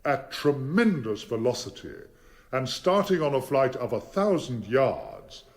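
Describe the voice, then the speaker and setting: An actor playing a formidable barrister in a courtroom radio drama, probing witnesses in a deliberate, theatrical and insistent way. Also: male voice, mid-range voice, huge pitch swings, darkish tone. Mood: coolly probing. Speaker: defence barrister.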